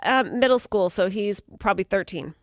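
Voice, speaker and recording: A woman hosting morning radio, telling offbeat news stories in a brisk, amused way. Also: mid-range voice, lively intonation, no echo, studio quality.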